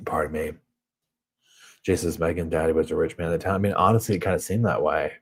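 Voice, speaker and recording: A man's voice: extremely low pitch, fairly even intonation, fast, breathy edge. A man with a Southern US accent hosting a casual true crime live chat from a hotel room, rambling and reading viewer comments aloud. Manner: rambling, chatty, heavy on filler words. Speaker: true crime channel host.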